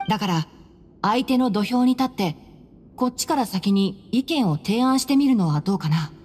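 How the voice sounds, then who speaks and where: A woman voicing a calm, clever young male scholar in an anime game, composed and articulate as he explains things. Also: medium pitch, normal speed, big-room sound, slight background noise, close to an everyday voice. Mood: thoughtful, assured.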